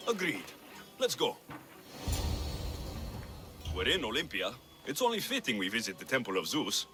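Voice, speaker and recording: An actor playing a young, skeptical Greek mercenary hero in a video game, wry and matter-of-fact. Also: male voice, medium pitch, huge pitch swings, moderate pace, over music. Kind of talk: video game dialogue acting.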